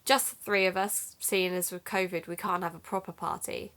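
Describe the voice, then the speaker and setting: A woman playing an amateur detective in an audio drama, speaking in a measured, matter-of-fact, conversational way. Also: on the low side, steady tone, a bit bright.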